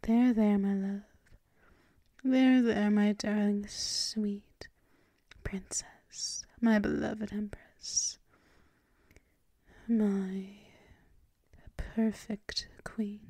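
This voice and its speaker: A woman performing intimate ASMR roleplay as a gentle, teasing, doting dominant girlfriend, often whispering in a soothing, affectionate murmur close to the microphone. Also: medium pitch, level tone, very slow, pauses often, no echo.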